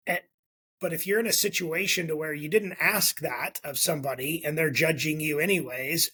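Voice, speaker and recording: Husky middle-aged man, reflective. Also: on the high side, bright, forceful tone. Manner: reflective, easygoing storyteller. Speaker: podcast co-host. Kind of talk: casual advice chat.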